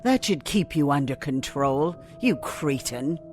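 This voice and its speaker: Stern, slow-spoken woman doctor. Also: deep voice, animated, darkish tone. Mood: contemptuous. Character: stern, suspicious woman doctor, contemptuous and worried. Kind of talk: audio drama acting. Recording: music under the voice.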